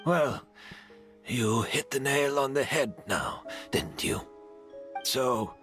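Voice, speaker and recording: A man playing a proud, bitter master perfumer, an unrepentant villain in a video game, speaking in a deliberate, theatrical way. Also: medium pitch, close to an everyday voice. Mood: resigned, wry. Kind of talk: dramatic scripted game dialogue. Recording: background music under the dialogue.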